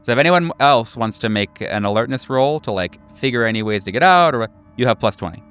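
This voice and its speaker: A man running a horror tabletop game, improvising scene descriptions in a casual, halting way. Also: mid-range voice, expressive, fairly bright tone, smooth, faint music behind. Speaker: game master.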